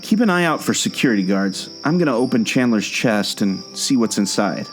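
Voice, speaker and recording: A man playing a brisk, daring treasure-hunting adventurer in a scripted audio adventure drama, with exclamatory, bantering delivery. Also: mid-range voice, big pitch swings, music in the background, close to an everyday voice. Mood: curious, conspiratorial. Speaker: podcast host acting in radio play.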